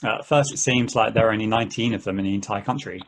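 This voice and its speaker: A man playing an easygoing game player in an amateur table read, talking in a halting, conversational way. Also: fairly low pitch. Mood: storytelling. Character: easygoing, rambling male player of an endless treasure-hunt game.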